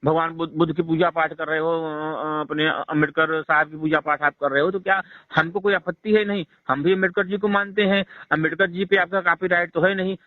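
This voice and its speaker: A man's voice: on the high side, flat delivery, a little fast, hardly any pauses, no room sound. A man on a phone call, arguing in an insistent, lecturing manner. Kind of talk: heated argument on a phone call. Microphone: phone line. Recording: tinny phone-call recording.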